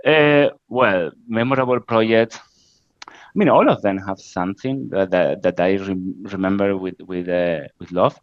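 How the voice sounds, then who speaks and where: A middle-aged man from Spain talks in accented, halting English, often stopping on "uh" as he searches for words. His voice sits low, and the recording is dry and a little muffled. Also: no echo. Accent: Spanish. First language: Spanish. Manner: halting, frequent "uh" fillers, non-native grammar. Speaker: interview guest, creative director. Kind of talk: casual interview answers.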